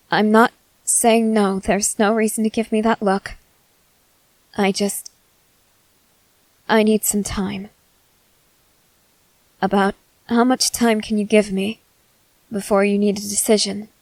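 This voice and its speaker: A woman playing a guarded young female soldier, blunt but softening, in halting roleplay ASMR. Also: medium pitch, no room sound, rough recording. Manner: halting, hesitant, earnest delivery.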